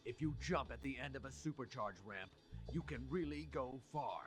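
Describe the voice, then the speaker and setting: A man's voice: mid-range voice, expressive, normal speed, lightly acted. A man voicing an advice-giving dragon in a video game. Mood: advising. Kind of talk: video game character dialogue. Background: game music.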